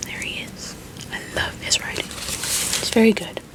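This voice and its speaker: A woman whispering softly and very quietly as she chats through an ASMR video at home. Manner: slow, unhurried chatty whispering. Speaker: ASMR creator. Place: home room. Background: crinkling of paper and book stickers.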